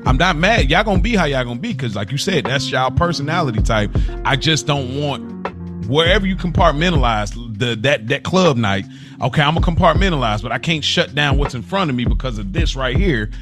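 A man with a middling voice talks through advice in a measured, reasoning way on a casual podcast. Music plays clearly behind him. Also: medium pitch, lively intonation. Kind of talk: casual chat, giving advice.

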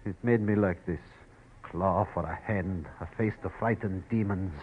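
Hissing, embittered villain, old noisy radio. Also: male voice, sits low, unhurried, narrow, tinny audio. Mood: bitter. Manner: hissing, theatrical, formal phrasing. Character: bitter, menacing, disfigured male villain bent on revenge. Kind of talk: old-time radio crime drama acting. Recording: noisy vintage radio drama recording.

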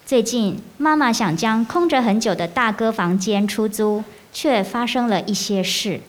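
A woman's voice: a little high, unhurried, slight background noise. Woman narrating a radio drama. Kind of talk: scripted narration.

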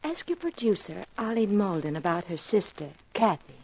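A coy, animated radio flirt. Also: female voice, medium pitch, moderate pace, breathy edge. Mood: cryptic, coy. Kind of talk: 1950s radio drama acting. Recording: noisy vintage radio recording with clicks.

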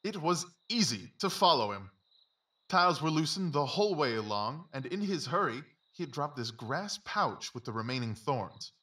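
An actor playing a brisk, keen, self-assured male detective in a dramatised audiobook, with clipped, commanding speech. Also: a little high, very animated, sharp tone. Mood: brisk, absorbed.

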